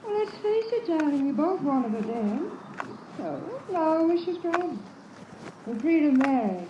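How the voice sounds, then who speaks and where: Catty schemer, high pitch, sweetly arch, radio drama, muffled roomy recording. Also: female voice, sing-song, unhurried. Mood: conspiratorial, cooing. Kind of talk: old-time radio drama acting. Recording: noisy, muffled, echoey old radio recording.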